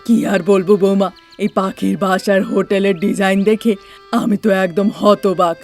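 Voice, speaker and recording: A woman's voice: mid-range voice, little pitch movement, measured pace, a little mellow, faint music behind, close to an everyday voice. A woman voicing a cheerful, fond and slightly bossy older mother-in-law in a cartoon story. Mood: delighted.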